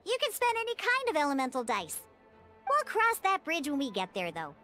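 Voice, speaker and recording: A woman voicing a peppy young cartoon mascot in a video game tutorial, chirpy and childlike, eagerly explaining with expressive exclamations. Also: high voice, fairly quick, soft background music, full character voice. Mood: explaining, encouraging.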